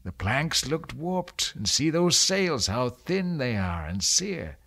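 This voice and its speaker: A man's voice: medium pitch, big pitch swings, slow pace, gravelly, pushed and bright, voice pushed up, full character voice. A man voicing a devout, hearty hermit of the woods in a recited poem. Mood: uneasy awe.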